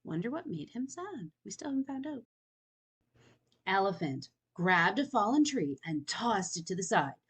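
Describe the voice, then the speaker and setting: A woman reading a picture book aloud to young children, expressive and inviting, pausing to wonder aloud in chatty asides. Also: mid-range voice, husky. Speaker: children's storytime reader.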